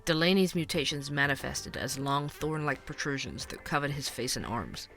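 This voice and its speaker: A man's voice: mid-range voice, expressive, normal speed, pushed and bright, faint music behind. A man playing an inquisitive, earnest true-crime podcast host, delivering scripted narration. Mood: serious, intrigued.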